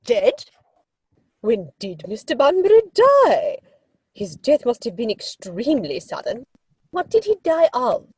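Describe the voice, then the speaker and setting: A haughty older lady of high society, played by a woman, speaks in a fairly high, husky voice that swoops with indignation. She is pompous and commanding, in the style of a period comedy reading. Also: huge pitch swings, measured pace, darkish tone, dry recording, exaggerated voice. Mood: haughty, shocked. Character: imperious, indignant older aristocratic mother and aunt. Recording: dry home recording over video call.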